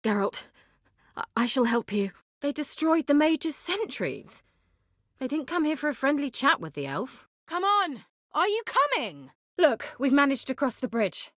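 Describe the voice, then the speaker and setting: An actress voicing a haughty, witty, sly sorceress in a video game, with an arch, formal and sardonic way of talking. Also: female voice, high-pitched, sing-song, measured pace, no echo, clean studio sound. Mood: tense. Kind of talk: video game character dialogue.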